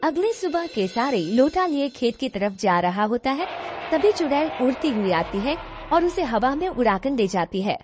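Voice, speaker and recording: A woman's voice: sits high, big pitch swings, normal speed, background noise. A woman reading scripted story narration as the narrator of a tale.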